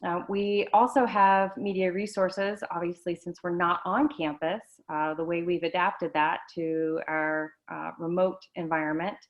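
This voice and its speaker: Woman, low voice, hesitant presenter, dull lo-fi call audio. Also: level tone. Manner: slow, halting, many fillers. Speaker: learning center supervisor. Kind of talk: online presentation.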